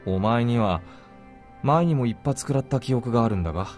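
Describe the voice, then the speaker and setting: An actor playing a cool, blunt young soldier in a Japanese visual novel, reserved and understated. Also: male voice, medium pitch, expressive, rapid-fire, a little mellow, soft background music, close to an everyday voice.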